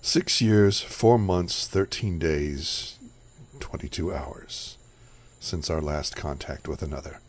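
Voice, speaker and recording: A man's voice: a bass voice, slow pace, a little hiss or hum. A man playing a solemn, precise priest who mentors a family of vampire hunters, in a radio drama. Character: solemn old monk mentor, precise and learned. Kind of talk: hobby radio drama acting.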